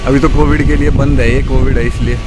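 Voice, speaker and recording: A man's voice: mid-range voice, level tone, fast talker, low-quality recording. A man vlogging outdoors from a ship's deck, chatting to viewers as he explains what he sees. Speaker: sailor vlogger. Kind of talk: casual on-deck vlog narration.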